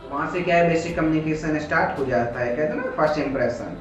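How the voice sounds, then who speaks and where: A man's voice: fairly high pitch, quick pace. Indian man lecturing in a very echoey room. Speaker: teacher. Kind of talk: motivational lecture on communication skills. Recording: very echoey room, music behind.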